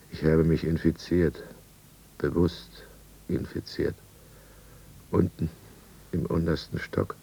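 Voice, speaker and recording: Very deep, gravelly broken man. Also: dark tone, heavily acted, poor audio. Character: broken, bitter middle-aged alcoholic businessman confined in asylum. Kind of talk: 1950s German radio drama, first-person narration. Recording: 1950s radio studio recording.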